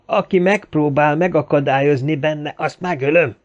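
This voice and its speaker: A man's voice: on the high side, lo-fi sound, voice pushed up, natural voice. A man voicing a hot-headed, grieving Russian gunman in an audiobook, ranting and threatening in exclamations. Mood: furious, threatening.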